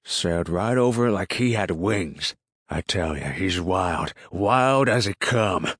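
A man's voice: low-pitched, expressive, a little slow, a bit bright, breathy edge, no echo, exaggerated voice. Man performing grumbling rural farmer, ill-tempered, suspicious, folksy grammar, storybook dialogue, dry close sound. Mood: indignant. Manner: folksy ungrammatical country speech, grumbling. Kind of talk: audiobook character dialogue.

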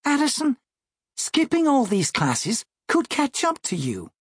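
A man's voice: extremely high pitch, huge pitch swings, unhurried, breathy edge, no room sound, clean studio sound, voice pushed up, full character voice. A man voicing an exasperated, worried young schoolgirl in an audiobook, urgent and questioning. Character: exasperated, worried sixth-grade little sister. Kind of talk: audiobook character voice.

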